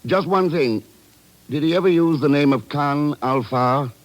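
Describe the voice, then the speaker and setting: An actor in a vintage radio drama playing a cool, shrewd secret agent, composed, dry and clipped. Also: male voice, unhurried, close to an everyday voice. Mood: assured. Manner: clipped, composed, dryly questioning. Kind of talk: 1940s-50s radio drama acting. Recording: noisy vintage radio recording, engine rumble.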